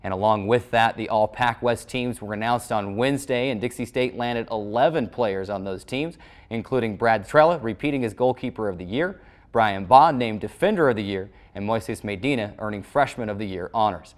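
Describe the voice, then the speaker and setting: A man's voice: on the low side, moderate pace. Male sports show host, scripted recap delivery. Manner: formal sports-broadcast phrasing.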